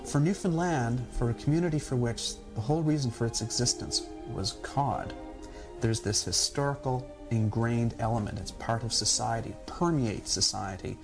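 A man's voice: mid-range voice, normal speed. A man giving explanatory talk as a documentary interviewee. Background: music.

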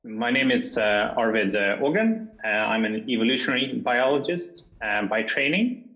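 A man from Sweden, a guest answering interview questions in a measured way, pausing often with fillers. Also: medium pitch, animated, bright, forceful tone, dull audio. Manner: measured, with frequent pauses and fillers.

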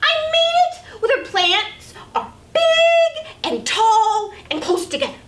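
A young woman reading a picture book aloud as a children's storyteller, with animated, exaggerated delivery, in a small room at a distance from the mic. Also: extremely high pitch, takes it slowly. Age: young adult. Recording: roomy, distant camera mic, faint noise.

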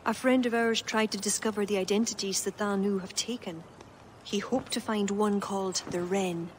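A woman's voice: mid-range voice, fairly even intonation, moderate pace, a little hiss or hum, lightly acted. An actress playing an earnest, worried young woman in a sombre video game drama. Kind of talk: video game cutscene acting.

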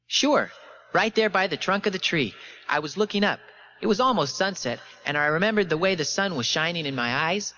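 Man playing an eerily eager teenage boy, high-pitched. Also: a little fast, dull audio. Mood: eager, confident. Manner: energetic, eerily bright, clear. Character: unstable teenage schoolboy witness, waggish and falsely confident. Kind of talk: audiobook character reading.

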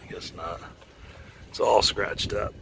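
Deep-voiced man touring a docked boat. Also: slow pace, vocal fry, slight background noise, low-quality recording. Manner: relaxed, thinking aloud, trailing off. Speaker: boat owner touring a yacht. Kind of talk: casual walkthrough commentary. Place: outdoors on a docked boat in a marina.

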